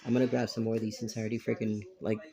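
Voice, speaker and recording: A man with a raspy voice giving a rambling, blunt tarot reading at a table, without a dedicated microphone. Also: lowish voice, little pitch movement, rapid-fire, a crowd in the background. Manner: rambling and blunt, with casual swearing. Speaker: tarot reader. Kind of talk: casual tarot reading to camera. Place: indoors at a table covered with cards.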